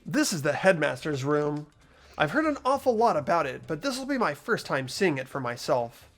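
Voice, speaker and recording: A hopeful, slightly puzzled teenage boy in a video game, played by a man. His voice is fairly high and lively, with music behind it. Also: moderate pace. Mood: reflective. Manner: earnest, questioning. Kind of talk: voiced video game playthrough, dialogue and inner narration.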